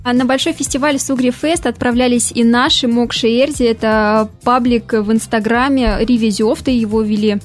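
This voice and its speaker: A woman's voice: a little high, steady tone, moderate pace, few pauses, soft background music. Friendly woman radio host. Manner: friendly, asks polite questions. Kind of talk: radio interview hosting. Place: radio studio.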